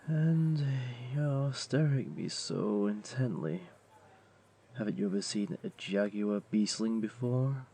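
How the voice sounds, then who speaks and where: A man's voice: on the low side, gravelly, mellow, a little hiss or hum, exaggerated voice. A man voicing a flirty, laid-back jaguar beastling, a tavern regular who teases and coaxes the listener in a roleplay audio drama. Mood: teasing, flirty. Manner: playful, coaxing, unhurried teasing.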